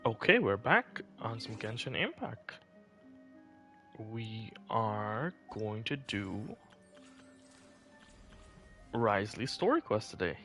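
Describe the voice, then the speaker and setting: A man's voice: fairly low pitch, sing-song, takes it slowly, long pauses, bright, forceful tone, soft background music. A man chatting casually on a live game stream, rambling and thinking aloud as he goes.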